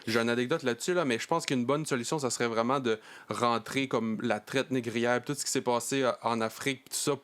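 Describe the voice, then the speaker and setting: A man with a Quebec French accent, talking quickly and telling a story in a casual podcast discussion. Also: mid-range voice. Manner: fast, animated storytelling. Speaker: podcast guest.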